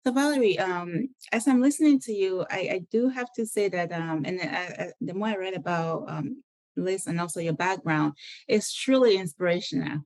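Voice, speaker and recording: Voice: mid-range voice, normal speed, no echo. A podcast host from Ghana, speaking conversationally and often restarting phrases. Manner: warm-up remarks with frequent restarts. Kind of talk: conversational interview.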